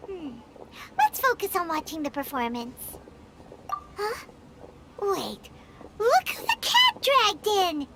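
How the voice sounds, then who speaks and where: A woman voicing a small, chirpy, curious childlike fairy girl in a video game, squeaky, cutesy and exclamatory. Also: huge pitch swings, takes it slowly, pauses often, heavy background noise, heavily acted. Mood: indignant, curious. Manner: cutesy, exclamatory, refers to self in third person.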